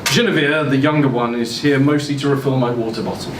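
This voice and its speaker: A man performs a short story at a live reading in an expressive storytelling voice, pitched around the middle for a male. He occasionally trips on a word. The mic is some way off in a roomy indoor space, with steady background noise clearly audible. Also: fairly quick, far from the mic. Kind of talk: live dramatic reading of first-person fiction. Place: indoor social venue, live event.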